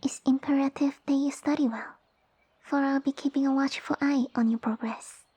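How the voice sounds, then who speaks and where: A woman playing a sassy, tiny snake-girl noble with pompous, formal, commanding speech and a soft breathy voice, in an ASMR roleplay. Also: high voice, fairly even intonation, normal speed, lightly acted. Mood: bossy, exacting. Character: haughty, sassy young lamia noblewoman, tiny monster girl. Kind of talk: ASMR roleplay audio drama.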